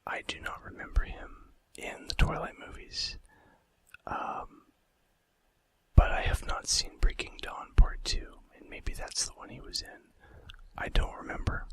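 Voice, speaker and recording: A man narrates in a breathy whisper for an ASMR audience. His delivery is hushed and deliberate, like reading a script, with casual asides in the same unvoiced tone. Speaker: ASMR video host.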